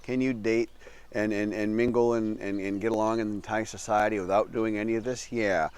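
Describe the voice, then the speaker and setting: A man's voice: on the low side, flat delivery, moderate pace, darkish tone, clear, resonant tone. An older man from the Midwestern US talking casually to camera, rambling, correcting himself and chuckling as he goes, outdoors under a metal roof. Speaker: vlogger living abroad.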